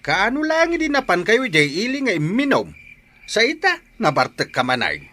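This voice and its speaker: Male actor as stern Filipino dad, audio drama, reproachful lecturing, sharp tone, wildly varying pitch. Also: huge pitch swings, normal speed, full character voice. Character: stern, scolding father of a hard-drinking grown son.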